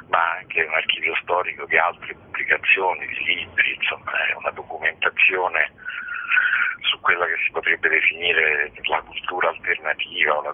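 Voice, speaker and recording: A man's voice: very deep voice, husky. An older man explaining things in an interview as a guest, in a discursive, digressive manner. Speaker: magazine director, interview guest. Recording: muffled narrow phone line.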